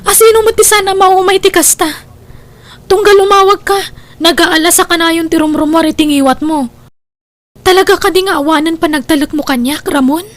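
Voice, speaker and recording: A woman's voice: very high-pitched, fairly even intonation, fairly quick. A woman with an Ilocano accent playing a wronged girlfriend in an audio drama, pleading her case in a plaintive, emphatic way. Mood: hurt, indignant. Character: wronged, hurt girlfriend defending herself.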